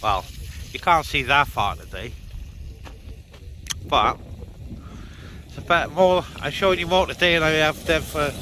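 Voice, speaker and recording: A man's voice: on the high side, unhurried, pauses often, background noise. A man vlogging casually outdoors beside his car, talking to his viewers as he goes. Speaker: vlogger out with CB radio. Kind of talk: casual vlog chat.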